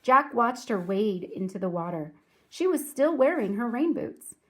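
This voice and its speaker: A woman reading aloud to children like a teacher, speaking clearly and deliberately in a storybook narration style. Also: fairly high pitch, very animated, a bit bright. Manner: clear, deliberate, addresses young listeners.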